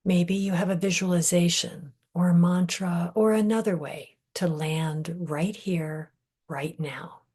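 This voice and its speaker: A woman leading a guided meditation in a gentle, measured way, breathy and husky, often trailing off into a whisper with deliberate pauses. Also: on the low side, fairly even intonation. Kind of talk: guided meditation, soothing scripted narration.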